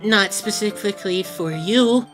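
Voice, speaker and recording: Woman acting a prickly little schoolboy, unhurried drawl. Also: medium pitch, a bit bright, faint music behind, natural voice. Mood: flustered, defensive. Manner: brusque, defensive. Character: small prickly moody teenage schoolboy, proud, defensive. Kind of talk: audio drama acting.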